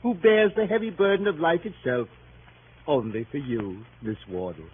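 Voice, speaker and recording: An actor playing an amorous gentleman suitor who pleads in florid, theatrical speeches, in 1930s radio style. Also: on the high side, moderate pace, natural voice. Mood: adoring. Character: amorous, flowery middle-aged gentleman suitor. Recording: tinny, noisy 1930s radio recording.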